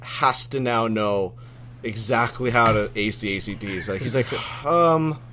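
A man's voice: on the low side, a little slow, noisy background. Laid-back male podcast co-host. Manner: laid-back, says dude and like a lot. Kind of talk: casual sports chat.

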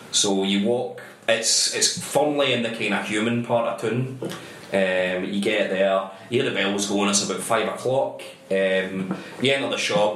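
A man's voice: on the low side, fairly bright tone. A man narrating as game master, casual, with lots of ums. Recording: echoey room, faint noise.

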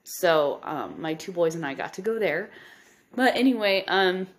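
A woman in her thirties from the Midwest, hoarse and stuffed up from a cold, giving a rambling casual update to her podcast listeners with lots of ums. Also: lowish voice, animated, measured pace. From Nebraska, US Midwest. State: hoarse, congested. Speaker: podcast host.

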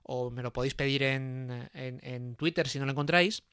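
A man's voice: mid-range voice, moderate pace, fairly bright tone, clean tone, dry recording, studio quality. A man from hosting a podcast in casual chat, hesitant, with many fillers and restarts. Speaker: podcast host.

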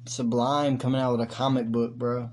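A man co-hosting a casual podcast, joking, laughing and swearing a lot while high. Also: little pitch movement, moderate pace, darkish tone, a little hiss or hum. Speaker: podcast co-host. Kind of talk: casual crude chat.

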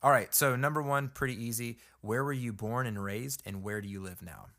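A man hosting an interview, asking quick icebreaker questions in a chatty, upbeat way. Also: mid-range voice, fairly bright tone. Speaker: podcast host. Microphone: video call.

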